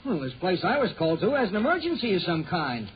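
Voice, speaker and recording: Fast-talking, high-voiced locksmith. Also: male voice, big pitch swings, a little hiss or hum. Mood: businesslike. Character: brisk, folksy working-class locksmith. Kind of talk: 1940s radio sitcom acting. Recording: muffled 1940s radio recording.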